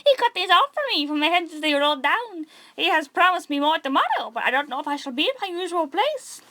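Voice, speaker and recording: A woman's voice: extremely high pitch, big pitch swings, fast, pushed and bright, voice pushed up, heavily acted. A woman voicing a coarse, taunting old French hag from a storybook. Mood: gloating. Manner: taunting, brazen.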